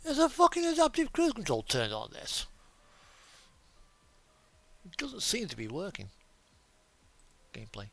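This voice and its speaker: Animated high-pitched man chatting over a game. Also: a little slow, creaky, pauses often. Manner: sweary, talking to himself and the game. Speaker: streamer playing a truck driving simulator. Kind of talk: casual gaming commentary.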